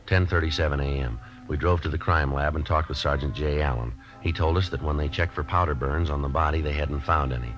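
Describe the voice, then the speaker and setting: A dull old radio recording carries a no-nonsense American male police detective. His voice is extremely deep and he talks fast, in a clipped, deadpan procedural manner typical of 1950s radio drama. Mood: flat, matter-of-fact. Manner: terse, deadpan, businesslike. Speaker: lead actor and narrator of a police radio drama. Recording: muffled vintage radio recording, music cues.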